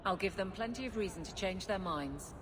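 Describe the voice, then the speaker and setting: A woman's voice: medium pitch, lively intonation, a little fast, a crowd in the background, close to an everyday voice. A woman playing a stern, determined guardswoman in a video game, firm and challenging. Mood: defiant. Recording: long reverb, music behind.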